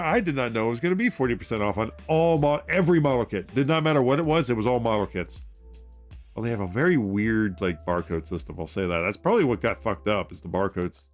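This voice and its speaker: Man, casual livestream host, excitable, loud, rambling, faint background music. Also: mid-range voice. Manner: mutters part numbers while building. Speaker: livestream host building model kits.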